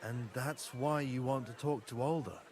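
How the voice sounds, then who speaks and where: A man's voice: mid-range voice, normal speed, background noise, lightly acted. An actor playing a dutiful, proud young nobleman, a younger son, speaking formally and earnestly in medieval game dialogue.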